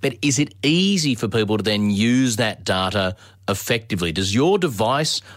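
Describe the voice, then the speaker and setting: A man's voice: lowish voice, animated, normal speed, fairly bright tone, no room sound, studio quality. A man hosting an interview, prompting his guest with curious questions. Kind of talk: podcast interview.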